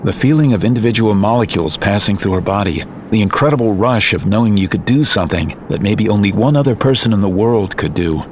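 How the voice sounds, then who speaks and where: A man narrating a scripted audio drama in a serious, measured storytelling manner. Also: on the low side, animated, a little hiss or hum.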